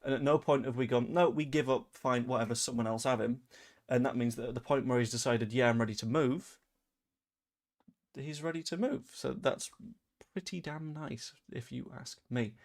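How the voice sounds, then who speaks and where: A young man from Leeds with a softened Yorkshire accent, hosting a casual live stream and chatting through viewer comments with rambling, dry asides. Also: mid-range voice, fairly even intonation, moderate pace. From Leeds, England. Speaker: fan channel host.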